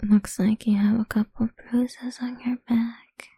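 A woman's voice: on the high side, little pitch movement, unhurried, warm tone, breathy edge, no echo, clean studio sound, full character voice. A woman voicing a cruel, domineering woman who taunts in a crude, menacing whisper in an audio roleplay.

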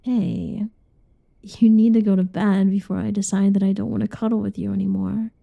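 A young woman whispering softly in a teasing, cuddly ASMR roleplay, with giggles between lines. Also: mid-range voice, flat delivery, moderate pace, creaky, no room sound, narrow, tinny audio. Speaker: roleplay narrator.

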